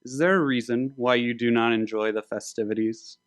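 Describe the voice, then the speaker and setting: A man voicing a commanding, manipulative biblical warrior king in a formal, measured, grandiose manner. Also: mid-range voice, steady tone, dry recording, studio quality, close to an everyday voice. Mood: probing.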